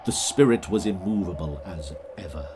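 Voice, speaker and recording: A man narrating an audio drama of a literary classic, expressive and measured in his storytelling. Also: low-pitched, noisy background. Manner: expressive, measured, old-fashioned literary phrasing. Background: music.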